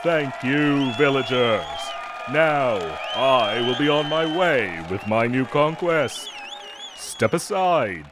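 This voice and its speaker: A man's voice: mid-range voice, lively intonation, slow, a crowd in the background, background noise. A man playing a gallant, theatrical knight with a playful streak and formal, archaic phrasing in a fantasy audio roleplay. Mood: commanding, theatrical.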